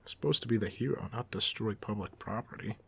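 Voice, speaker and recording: A man playing a goofy, soft-hearted supervillain off duty in an audio roleplay, talking casually with teasing, self-deprecating humor. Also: lowish voice, fast, creaky, slightly rough, heavily acted. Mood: boastful storytelling. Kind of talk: ASMR roleplay monologue, casual conversational acting.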